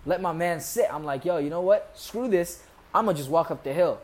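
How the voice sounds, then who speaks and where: A young American man, a podcast guest, casually telling animated stories with jokes and laughs. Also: a little high, normal speed. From United States. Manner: animated, joking, laughs through his stories. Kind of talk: casual conversational storytelling.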